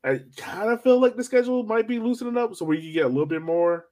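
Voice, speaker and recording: A man hosting a soccer podcast, chatting casually and slangily as he thinks out loud. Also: high voice, quick pace. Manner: conversational, slangy, thinking aloud. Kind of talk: casual sports discussion.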